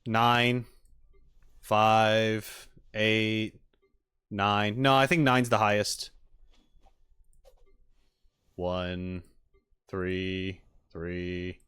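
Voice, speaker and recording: Slow-talking casual male streamer. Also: low voice, a bit bright, smooth. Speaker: streamer reacting to game videos. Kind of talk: casual livestream chat, reaction commentary.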